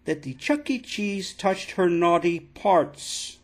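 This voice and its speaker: A man playing an indignant father making an absurd complaint on a prank call, halting and repeating himself insistently. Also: sits high, animated, exaggerated voice. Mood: earnest, aggrieved. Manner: halting, repetitive, insistent, addressing listener as ma'am. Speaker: prank caller.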